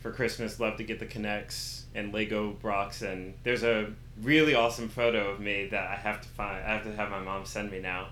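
Male interview guest, bright forceful voice, candid slangy storyteller, roomy echo. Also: fairly low pitch, normal speed, hardly any pauses. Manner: animated storytelling with acted-out quotes and slang. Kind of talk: casual candid conversation. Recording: roomy echo with faint background noise.

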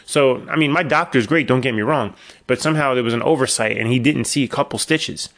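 A man's voice: medium pitch, fairly quick, fairly bright tone. A middle-aged man, hosting his own podcast and telling long rambling stories with wry asides, his voice a little worn after illness. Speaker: podcast host. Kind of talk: casual solo podcast monologue.

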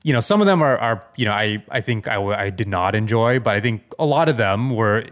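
A man's voice: on the low side, animated, fairly quick. A man co-hosting a podcast, chatting casually and weighing his opinions tentatively. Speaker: podcast co-host. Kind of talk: casual chat.

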